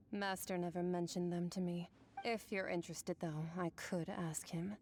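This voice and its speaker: A woman's voice: on the low side, fairly even intonation, measured pace, a little mellow, slight background noise. A woman playing an aloof, powerful swordswoman in a video game, speaking in a cool, detached, matter-of-fact way. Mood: detached, musing. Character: aloof, powerful otherworldly swordswoman, blunt and unruffled. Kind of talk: video game character acting.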